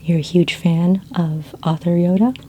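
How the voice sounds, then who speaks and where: Soft, low whispery woman, ASMR librarian. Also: fairly even intonation, a little slow, slight background noise. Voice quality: soft, breathy near-whisper. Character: friendly, helpful sci-fi librarian woman. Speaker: ASMR performer playing a friendly librarian. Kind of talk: soft-spoken ASMR roleplay.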